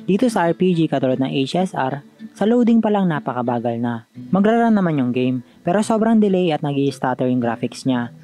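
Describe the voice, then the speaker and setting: A man's voice: fairly high pitch, animated, fairly quick, mellow, soft background music. A man with a Filipino accent giving a conversational, scripted voice-over as a tech review vlogger.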